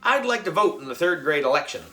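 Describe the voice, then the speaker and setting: A man voicing an excitable, cheeky third-grade boy in a children's story, exclaiming and emphatic. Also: a little high, quick pace, faint music behind, voice pushed up. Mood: sly.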